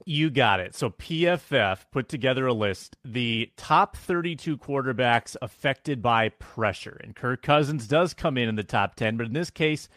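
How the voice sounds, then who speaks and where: A man, a sports talk show producer, calmly explaining statistics. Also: mid-range voice, no room sound, clean studio sound. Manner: measured, explains stats. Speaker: producer and co-host.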